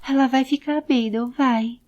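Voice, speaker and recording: A woman's voice: high-pitched, steady tone, normal speed, a little mellow, dull audio, pitched above the performer's own voice, close to an everyday voice. A woman voicing an anxious, pleading young male companion in an audio drama. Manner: urgent, distressed, pleading. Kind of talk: audio drama character acting.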